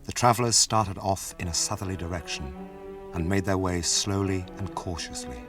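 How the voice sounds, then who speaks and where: Male radio drama narrator, very low voice, British accent, measured sober storytelling, faint music behind. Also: close to an everyday voice. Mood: sober, ominous. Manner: measured, deliberate. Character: sober storyteller of a fantasy journey.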